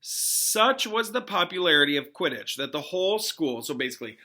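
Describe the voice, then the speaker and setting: Bright-voiced man reading aloud. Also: on the high side, moderate pace. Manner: expressive reading, informal explanations to pupils. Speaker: classroom teacher reading aloud. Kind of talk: storybook read-aloud with casual asides.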